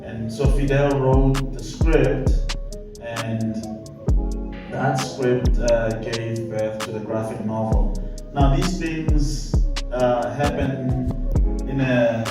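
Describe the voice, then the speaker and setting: A man talks reflectively at a panel in a mid-pitched voice, often pausing and circling back over his phrases. He is heard at a distance in a very reverberant room, with loud music underneath. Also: fairly even intonation. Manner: halting, pauses and repeats phrases. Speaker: director, panel speaker. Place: echoey event space at a public panel. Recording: roomy, distant mic, loud music behind.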